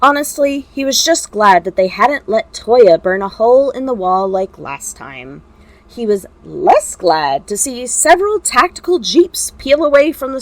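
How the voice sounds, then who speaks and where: A woman reading scripted fiction as an amateur narrator, with wry, expressive storytelling. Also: on the high side, poor audio.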